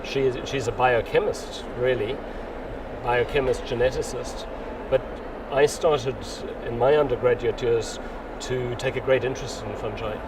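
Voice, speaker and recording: Man in his 60s, unhurried interviewee, heard from a distance over loud traffic noise. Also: mid-range voice, steady tone. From South Africa. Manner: rambling, restarts often, unhurried. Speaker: interviewee, mycologist. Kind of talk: casual interview chat.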